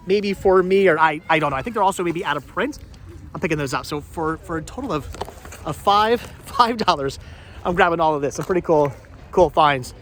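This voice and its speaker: A man's voice: high-pitched, a bit bright. A man chatting casually as a vlog host outdoors at a flea market, often repeating and doubling back on his phrases. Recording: noisy outdoor flea market location sound.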